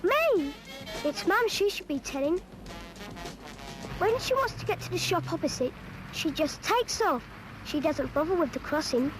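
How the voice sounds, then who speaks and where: A woman's voice: extremely high pitch, expressive, a little slow, long pauses, over music, low-quality recording. A woman voicing a cheeky English schoolchild who chattily narrates a public information film, exasperated by grown-ups. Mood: wry, chatty.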